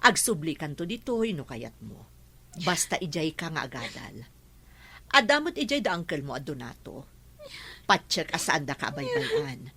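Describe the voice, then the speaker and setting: A woman's voice: low-pitched, wildly swooping pitch, normal speed, fairly bright tone, breathy edge, heavily acted. A woman playing a patient, caring middle-aged mother in a radio drama, soothing and coaxing. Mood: soothing, concerned.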